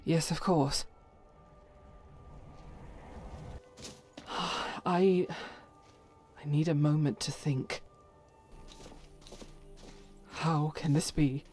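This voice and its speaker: A stern, devout female crusader from a fantasy game, formal and solemn with doubt underneath. Her voice sits low and she takes her time over each phrase, with faint music behind her. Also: fairly even intonation, very slow, pauses often, a little mellow. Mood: shaken. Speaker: voiced follower character in a fantasy game mod. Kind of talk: scripted dramatic game dialogue.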